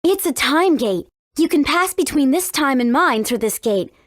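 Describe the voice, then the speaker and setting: A woman's voice: high voice, animated, moderate pace, no room sound, clean studio sound. A woman voicing a confident, determined young heroine in a video game. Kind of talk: video game character acting.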